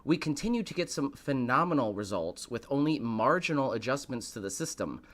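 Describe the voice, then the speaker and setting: A man hosting a live stream, a little hoarse, greeting chat and building up the evening with showy, dramatic lines. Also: mid-range voice, lively intonation, a little fast, a bit bright. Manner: showy, dramatic build-ups addressed to viewers. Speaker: host of a live stream show.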